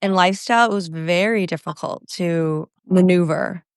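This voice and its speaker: A woman in her thirties from Phoenix, a podcast guest telling her life story in an earnest, halting way, often correcting herself. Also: lowish voice, smooth, no echo, clean studio sound. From Phoenix, Arizona, USA. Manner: earnest, halting, with self-corrections and soft laughs. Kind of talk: personal testimony in an interview.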